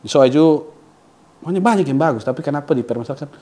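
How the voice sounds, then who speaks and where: Young man from Soe as a relaxed interview guest, Soe Timor accent, quick talk, dark tone. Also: fairly high pitch. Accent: Soe, Timor (Kupang Malay). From Soe, South Central Timor, Indonesia. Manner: relaxed, rambling, joking, regional slang. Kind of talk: casual interview chat.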